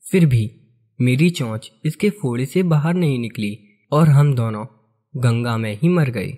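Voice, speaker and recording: A man playing a calm ascetic sage in a cartoon story, with a husky voice and measured manner. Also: medium pitch. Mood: calm, reflective. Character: calm ascetic sage explaining past-life karma. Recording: music behind the voice.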